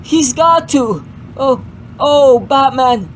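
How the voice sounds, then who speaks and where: A man voicing a devoted, strong-willed young bride with halting, melodramatic delivery in a comic-book dub. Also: very high-pitched, lively intonation, fairly bright tone, a little hiss or hum, voice pushed up, exaggerated voice. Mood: anxious, pleading. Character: Francine, devoted, defiant young female bride. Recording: music bed under the voice.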